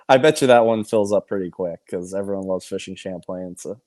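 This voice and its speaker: Male angler guest, somewhat low pitch, relaxed conversational delivery, brief answers. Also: normal speed. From New England, near Massachusetts. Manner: laid-back, brief answers, chuckles often. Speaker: amateur tournament angler, guest. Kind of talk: casual interview chat.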